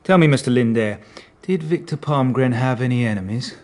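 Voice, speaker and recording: Mid-pitched man, acting a police detective, measured, understated, dryly sceptical, radio drama. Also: lightly acted. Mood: probing.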